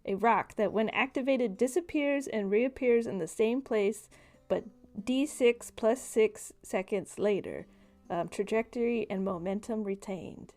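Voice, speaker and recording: A woman's voice: medium pitch, a little slow, dark tone, soft background music. A woman game master narrating a tabletop game in a casual, conversational style.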